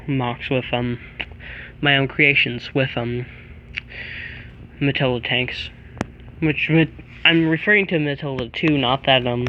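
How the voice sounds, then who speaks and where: A teenage boy rambling through an early-morning vlog in a monotone, halting voice with many fillers, into a microphone plugged into a tablet. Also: medium pitch, dull audio, slight background noise. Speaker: vlogger. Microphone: plug-in microphone on a tablet.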